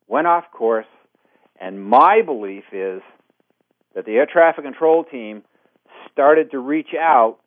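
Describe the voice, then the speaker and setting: An American man speaks as a radio interview guest over a narrow, phone-like line with a dry sound. His voice is medium in pitch, and he explains things with insistent emphasis, like someone determined to make his case. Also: no echo. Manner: emphatic, insistent, poses and answers own questions. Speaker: interview guest, former air traffic controller.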